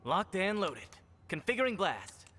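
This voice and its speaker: An actor playing a brainy, self-important but anxious scientist in a video game, with a nerdy, flustered manner. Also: male voice, high-pitched, sing-song, measured pace, sharp tone, heavily acted. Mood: focused. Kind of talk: video game cutscene acting. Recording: music behind the voice.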